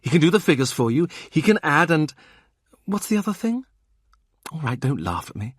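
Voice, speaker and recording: A man reading a novel aloud, voicing a brisk, caring young woman in a raised voice. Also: on the high side, big pitch swings, breathy edge, no echo, clean studio sound, voice pushed up. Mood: coaxing.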